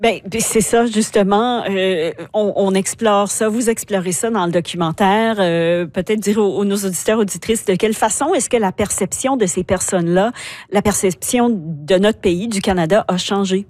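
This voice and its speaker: A woman radio host puts questions to her guest in a plain, even way, with a few small restarts. Her voice sits at a middling pitch and sounds close and dry, as in a radio studio. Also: moderate pace, no room sound. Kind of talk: radio interview.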